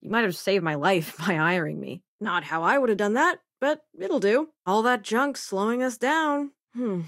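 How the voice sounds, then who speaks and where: A woman's voice: medium pitch, lively intonation, normal speed, a bit bright, no room sound, clean studio sound. A woman voicing a sardonic, self-assured young female crewmate and researcher in a video game, with a casual, wry delivery. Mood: wry, relaxed.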